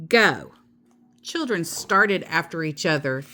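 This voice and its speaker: A woman storyteller reading a children's book aloud in an expressive narrating style. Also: on the low side, moderate pace.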